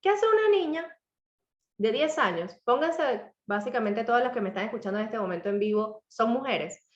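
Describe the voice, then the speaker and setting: A woman with a slightly hoarse throat, telling a personal story to a live audience in a reflective, motivational way. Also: on the high side, animated, moderate pace, reverberant, lo-fi sound. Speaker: author and speaker.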